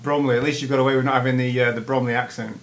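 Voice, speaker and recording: A man's voice: medium pitch, little pitch movement, very fast. A man hosting a casual interview, chatty and full of fillers and repeated words. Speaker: podcast host. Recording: echoey room, faint noise, off-mic.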